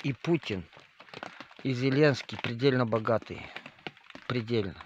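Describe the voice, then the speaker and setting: A man's voice: mid-range voice, a little slow. Rambling man, lo-fi audio. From northern Moldova. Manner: rambling, with many fillers and restarts, addresses listeners directly. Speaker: spiritual leader. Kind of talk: spoken monologue to viewers. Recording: slightly dull lo-fi audio with faint noise.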